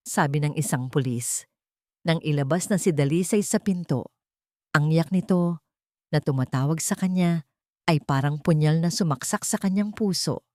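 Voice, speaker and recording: Low-pitched woman narrating a dramatic story. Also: darkish tone, dry recording, clean studio sound.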